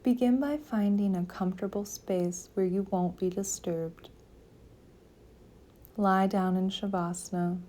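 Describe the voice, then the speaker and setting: A woman leading a guided meditation, giving calm, gentle, soothing instructions with long pauses between phrases. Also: mid-range voice. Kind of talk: guided yoga nidra meditation.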